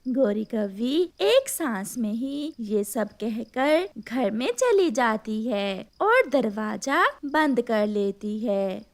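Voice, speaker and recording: Slow, high-voiced woman narrating. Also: lively intonation. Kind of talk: scripted storybook narration.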